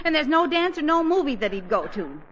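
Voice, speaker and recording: A woman's voice: sits high, sing-song, brisk. A woman playing an anxious, fussing middle-aged wife and mother in 1940s radio comedy style, flustered but insistent. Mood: worried, firm. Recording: noisy, dull 1940s radio broadcast.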